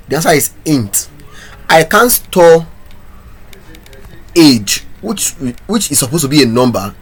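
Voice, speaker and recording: Husky-voiced man teaching coding. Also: mid-range voice. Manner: talks through code step by step, asks viewers questions. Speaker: programming instructor. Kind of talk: screen-recorded coding tutorial.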